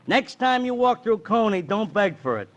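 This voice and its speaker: High-pitched cocky small-time crook. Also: male voice, sing-song, normal speed, fairly bright tone, muffled recording. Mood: breezy, taunting. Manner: slangy, wisecracking. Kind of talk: 1950s radio drama acting.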